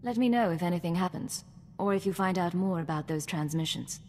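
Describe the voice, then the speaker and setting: Woman as a cool, lowish-voiced female spy. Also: darkish tone, long reverb tail, a little hiss or hum, close to an everyday voice. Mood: businesslike. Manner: measured, cool, dry. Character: composed, dry-witted female imperial secret agent. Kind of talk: video game voice acting.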